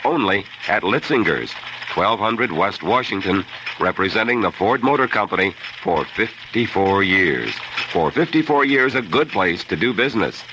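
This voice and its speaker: A man's voice: on the low side, expressive, moderate pace. A man reading a scripted 1970s radio commercial as an announcer. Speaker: advertisement announcer. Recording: muffled, noisy 1970s radio recording.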